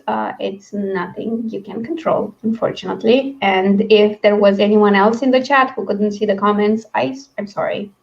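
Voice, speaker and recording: A woman's voice: mid-range voice, vocal fry, highs cut off. A woman hosting a livestream book discussion in a casual, rambling, chatty way. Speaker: host of a book read-along livestream.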